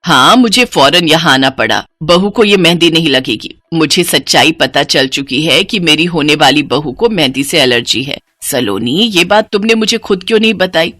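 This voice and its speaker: A woman's voice: sits low, lively intonation, normal speed, few pauses, a bit bright, dry recording, studio quality, pitched below the performer's own voice. A woman voicing an older mother-in-law, firm but affectionate and instructing, in a cartoon story.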